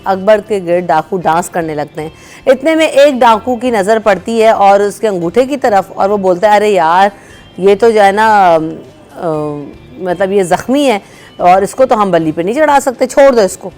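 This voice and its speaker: Lively woman hosting a morning show. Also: mid-range voice. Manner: animated storyteller, acts out shouting characters. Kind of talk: storytelling and casual studio chat. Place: TV studio.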